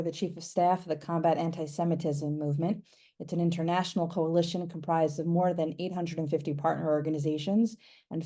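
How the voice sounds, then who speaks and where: A woman's voice: low-pitched, dark tone. A woman hosting a video call, reading formal introductions in a polished way. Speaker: host.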